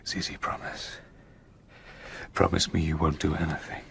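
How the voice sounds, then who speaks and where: An actor playing a secretive, persuasive lover in a radio drama, speaking in a hushed, halting, gravelly way. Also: male voice, extremely low pitch, level tone, noisy background, heavily acted.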